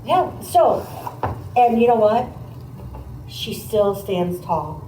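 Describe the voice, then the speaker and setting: A woman teacher reading a picture book aloud, pausing to comment and put questions to her listeners in a conversational way. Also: medium pitch, expressive, mellow. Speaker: teacher demonstrating a read aloud to pupils. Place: echoey indoor classroom. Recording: roomy, distant mic, faint background noise.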